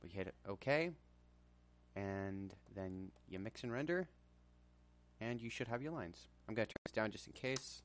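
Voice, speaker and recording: A man presenting a casual software tutorial in an informal, hesitant way. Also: on the low side, unhurried, long pauses.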